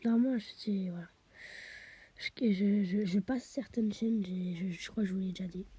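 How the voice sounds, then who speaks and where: A woman giving chatty let's play commentary while she plays, often dropping to a half-whisper and tossing in quick interjections, a bit stuffy with a cold. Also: mid-range voice, warm tone, breathy edge. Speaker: gamer.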